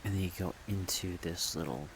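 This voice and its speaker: Male, deep and unhurried, soft-spoken casual ASMR rambler, rain-like noise behind the voice. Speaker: ASMR creator rambling about a project. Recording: steady rain-like background noise.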